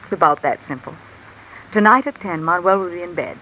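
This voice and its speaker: A woman's voice: fairly low pitch, a little fast, muffled recording. A woman playing a calculating, discontented wife with an insinuating, controlled manner in 1950s radio mystery style. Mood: commanding, threatening. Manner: insinuating, controlled, coolly commanding. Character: cool, calculating, discontented wife blackmailing a man. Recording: old radio recording with steady rain noise. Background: rain falling.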